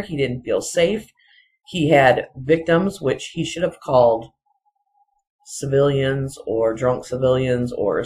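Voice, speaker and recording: A person giving casual, rambling solo commentary in halting, broken phrases, often repeating points insistently. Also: medium pitch. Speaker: video commentator.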